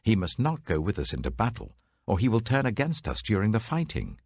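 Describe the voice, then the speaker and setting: Man acting an angry, husky army commander. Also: lowish voice, huge pitch swings, a little fast, no echo, pitched above the performer's own voice, full character voice. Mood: angry, scornful. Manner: forceful, commanding.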